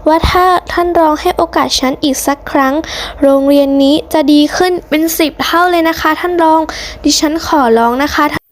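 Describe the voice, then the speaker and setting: A woman's voice: sits high, steady tone, normal speed, natural voice. A woman voicing an anxious, bossy female schoolteacher in a cartoon story, emphatic and insistent. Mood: pleading. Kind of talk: hobby cartoon story dubbing.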